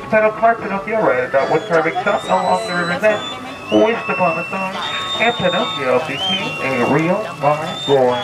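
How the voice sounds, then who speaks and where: A man working as a boat ride guide, narrating a scripted storytelling tour with theatrical, drawn-out emphasis on key words. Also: high voice, lively intonation. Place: outdoors aboard a tour boat. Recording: distant amateur recording with loud background music. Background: music and ride ambience.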